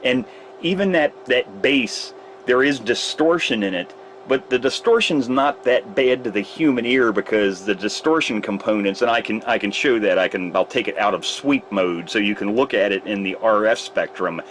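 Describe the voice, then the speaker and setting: A man with a fairly low voice rambles through a demonstration of his test setup at a repair bench, his pitch rising and falling a lot. He talks casually and explains each piece of gear step by step. A faint hiss of room noise sits under his voice. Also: expressive, moderate pace, fairly bright tone, low-quality recording. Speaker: radio repair technician.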